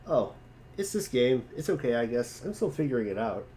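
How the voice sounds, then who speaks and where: Man playing an expressive, easygoing teenage boy. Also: mid-range voice, fairly quick, lightly acted. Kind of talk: amateur dramatic reading.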